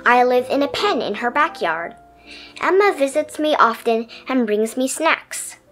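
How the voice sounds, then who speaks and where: A little pet turtle from a picture book, played by a woman reader, tells its own adventure in a high voice. It sounds earnest, dreamy and easily amazed, with expressive storybook pacing over soft music. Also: measured pace, exaggerated voice. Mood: dreamy, wondering. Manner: expressive storybook first-person telling.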